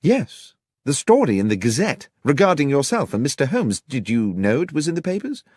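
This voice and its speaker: A man with a British accent voicing an anxious, friendly Victorian gentleman journalist in an audiobook, earnest and genteel. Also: medium pitch, sing-song, normal speed, husky, no echo, clean studio sound, voice pushed up.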